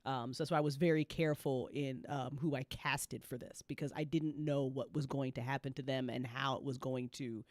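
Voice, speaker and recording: A woman's voice: very deep voice, fairly even intonation, a little fast, hardly any pauses, a little mellow, dry recording, clean studio sound. A woman hosting a casual after-show discussion, talking in a teasing, informal and sometimes profane way. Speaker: host and story creator.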